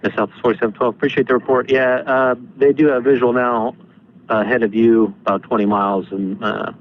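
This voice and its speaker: Fast-talking American air traffic controller, male. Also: medium pitch, fairly even intonation. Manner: brisk, businesslike, courteous. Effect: air traffic radio transmission. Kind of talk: clipped radio procedure talk. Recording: dull lo-fi radio with static noise.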